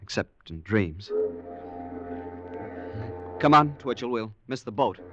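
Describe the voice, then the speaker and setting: An actor playing an earnest, romantic ship passenger in a 1940s radio drama, polite, hesitant and wistful. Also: male voice, fairly low pitch, expressive, slow, dull audio, music in the background.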